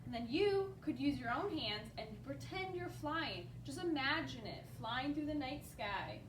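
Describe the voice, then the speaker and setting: A woman hosting a children's story time, reading a picture book aloud expressively and chatting casually to the young listeners as an animated storyteller. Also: sits high, unhurried, background noise. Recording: roomy, distant microphone, music behind.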